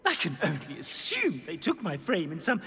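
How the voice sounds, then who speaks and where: Pompous, wildly swooping talking portrait. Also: male voice, fairly high pitch, huge pitch swings, normal speed, creaky, sharp tone, husky, in a large hall, full character voice. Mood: haughty, affronted. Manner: haughty, theatrical, melodramatic. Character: pompous snooty male talking portrait, fussy and indignant. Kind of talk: video game character acting.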